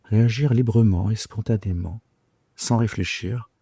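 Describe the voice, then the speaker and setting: A man leading a guided sleep meditation in a soothing, unhurried, hesitant way with long pauses. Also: fairly low pitch, husky, dry recording, clean studio sound. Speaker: yoga nidra guide. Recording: soft guitar music behind the voice. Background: gentle plucked guitar music.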